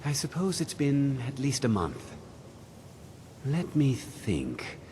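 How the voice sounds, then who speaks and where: An actor playing a stuffy older wizard in a video game, measured, dry and mildly disdainful. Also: male voice, medium pitch, wildly swooping pitch, background noise. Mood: evasive, thoughtful.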